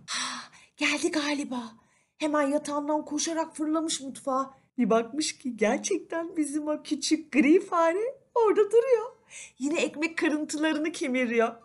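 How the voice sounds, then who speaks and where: A woman storyteller narrating a children's fairy tale in an animated, expressive manner. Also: high voice.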